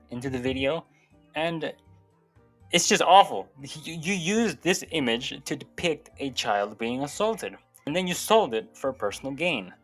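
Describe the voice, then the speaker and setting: Guy doing casual youtube commentary, opinionated and sweary, mid-pitched voice, music playing behind him. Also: fairly bright tone. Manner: informal, sweary. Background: background music.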